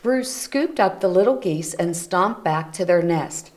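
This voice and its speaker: A woman reads a children's picture book aloud at an unhurried, slow pace. Her voice sits fairly low, and she gently stops to explain the pictures to young listeners. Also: expressive. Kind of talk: read-aloud storytelling for children. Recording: community TV recording.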